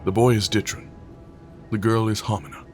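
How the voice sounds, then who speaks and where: A man playing a brooding, guarded, troubled leader in an audio drama, speaking gravely and defensively. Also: lowish voice, steady tone, normal speed, natural voice. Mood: grave, somber. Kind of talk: full-cast audio drama acting. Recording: dramatic music under the voice.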